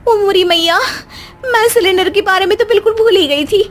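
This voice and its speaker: Woman voicing a high, feisty young Indian daughter-in-law. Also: a little fast. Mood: panicked. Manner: sarcastic, indignant. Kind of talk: animated cartoon story dialogue.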